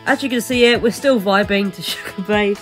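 A woman's voice: medium pitch, a little fast, soft background music. A young woman narrating her vlog footage in a chatty, rambling way, full of fillers and restarts. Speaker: vlogger. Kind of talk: casual voice-over narration.

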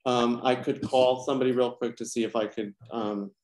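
A man's voice: medium pitch, steady tone, brisk, darkish tone. A man on staff at the meeting, explaining technical trouble in a hesitant, apologetic way with many ums, over a video call. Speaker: meeting staff running the stream. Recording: roomy echo.

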